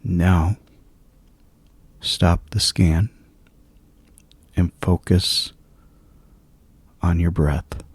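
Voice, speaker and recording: A man with a very low, creaky voice guides a sleep meditation. He is hushed and soothing, leaving long gaps between short phrases. The sound is close and dry, with a husky grain to his words. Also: steady tone, drawn-out words, pauses often, no echo.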